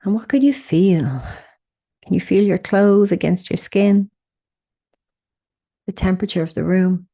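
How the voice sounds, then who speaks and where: A woman leading a guided meditation, speaking in a soothing, unhurried way with gentle instructions and pauses. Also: mid-range voice.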